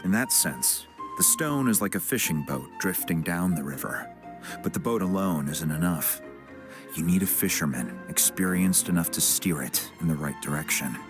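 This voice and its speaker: A man playing a calm, helpful tribesman in a video game, explaining things in a measured, reassuring way. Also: low-pitched. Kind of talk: English video game voice acting. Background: music.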